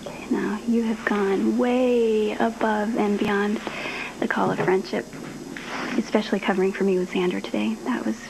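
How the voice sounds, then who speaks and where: An actress playing a young heiress hiding an illness in a daytime soap, speaking softly and breathily. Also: female voice, medium pitch, level tone, normal speed, gravelly, lightly acted. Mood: tender. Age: young adult. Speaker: actor in a soap opera. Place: indoor studio set. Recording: noisy old TV recording.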